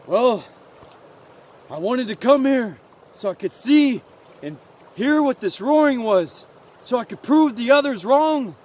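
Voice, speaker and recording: A man voicing a small, earnest and naive mouse in a story, halting and repeating words. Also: very high voice, expressive, a little mellow, pitched above the performer's own voice. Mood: curious, awed.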